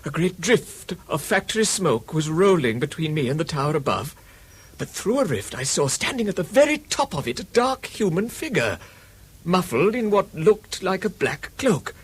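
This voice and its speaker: An actor playing a solemn man in a radio drama, recounting a strange sight earnestly and deliberately. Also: fairly high pitch, very animated, husky. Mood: grave, suspenseful. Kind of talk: dramatic radio play monologue.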